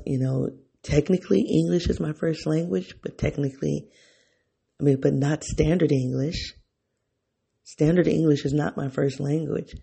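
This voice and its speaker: A man's voice: fairly high pitch, vocal fry, mellow, slightly rough. A man thinking aloud in a reflective solo monologue, often rephrasing himself and talking straight to his listeners. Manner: talks directly to listeners, informal.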